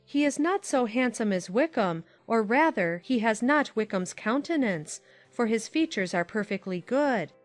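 A woman playing a talkative, opinionated middle-aged aunt in a dramatized audiobook, in a lively manner. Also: on the high side, moderate pace, few pauses, faint music behind, close to an everyday voice. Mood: chatty. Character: chatty warm-hearted middle-aged aunt.

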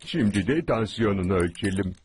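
A man's voice: on the low side, expressive, fairly quick, close to an everyday voice. A man as methodical cartoon insect scientist, music behind. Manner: methodical, explanatory. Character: earnest, tinkering stick-insect scientist who experiments on friends. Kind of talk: animated cartoon dub.

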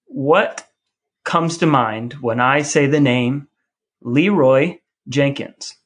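A man's voice: medium pitch, takes it slowly. A man hosting a podcast, talking in a casual, conversational way. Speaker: podcast host. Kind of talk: casual podcast talk between brothers.

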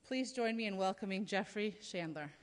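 A woman's voice: medium pitch, normal speed, heavy background noise. A woman in her thirties at a podium, giving prepared introductory remarks from notes with frequent fillers. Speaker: event speaker introducing a lecturer. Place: large lecture hall, at a podium. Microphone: podium microphone. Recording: reverberant hall.

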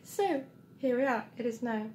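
A woman in her thirties chats casually to her phone at home. Her voice is croaky from just waking, though still on the high side. Some noise sits behind her. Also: expressive, moderate pace, a little mellow, roomy sound. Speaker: costume sewing vlogger. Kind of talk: casual morning vlog to camera. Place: dim room at home, indoors. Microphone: phone at arm's length.

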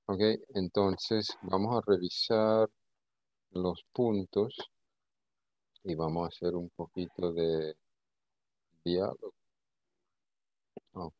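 A man teaching an informal online lesson, speaking haltingly and often repeating his words. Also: deep voice, steady tone, no echo. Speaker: English teacher. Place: indoor video call. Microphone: video call. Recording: dull, lo-fi call audio.

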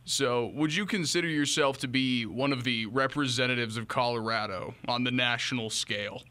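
A man's voice: mid-range voice, normal speed, pushed and bright, clear, resonant tone. A young man, hosting a sports podcast interview close on a studio microphone. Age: young adult. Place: small indoor radio studio. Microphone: studio microphone on boom arm, close.

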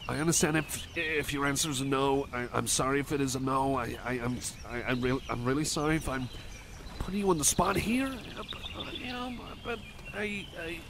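A bashful cyborg guy, acted by a man rambles to the listener in an ASMR roleplay. His voice is mid-pitched with a creaky fry, and he hesitates, stammers and apologizes, eager to please. Noisy outdoor ambience with birdsong sits behind him. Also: close to an everyday voice. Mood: flustered, apologetic. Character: shy, awkward, eager-to-please young male cyborg buddy.

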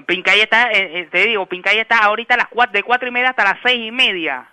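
A man with a Panamanian accent talking informally on a phone call, halting and repeating his words as he goes. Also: high-pitched, bright, forceful tone, no room sound. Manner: halting, repetitive, stammering. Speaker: prank call target. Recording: noisy phone call with clicks.

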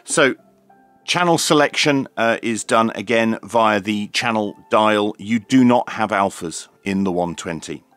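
Male product reviewer, lowish pitch, very unhurried, matter-of-fact step-by-step demo delivery, dry recording. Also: fairly bright tone, no room sound, faint music behind. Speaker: radio reviewer presenting a demonstration. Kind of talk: unscripted hands-on product demonstration.

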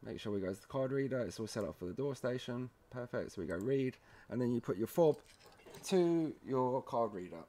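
A man presenting a software tutorial in a casual, halting, step-by-step way, often checking in with a short "okay". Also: mid-range voice, expressive. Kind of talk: casual screen-recorded software walkthrough.

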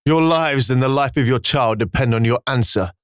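A man's voice: mid-range voice, moderate pace, dry recording, studio quality, close to an everyday voice. Man doing a scornful wolf-like beast. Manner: scornful, commanding. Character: huge ancient wolf-like guardian beast, proud.

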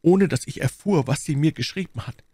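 A man's voice: fairly high pitch, lively intonation, brisk, dark tone, breathy edge, dry recording, pitched above the performer's own voice. A man voicing a wounded, dying English duke in an audiobook, imperious and pleading, with a faint, strained voice. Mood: urgent, pleading. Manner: exclamatory, pleading, impatient. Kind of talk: dramatic audiobook character reading.

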